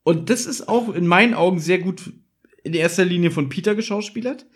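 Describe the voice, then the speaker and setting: Higher-voiced man retelling a story casually. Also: brisk, husky. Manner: animated retelling, acts out quoted lines. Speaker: podcast host. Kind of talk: casual chat, retelling and commenting on an audio drama.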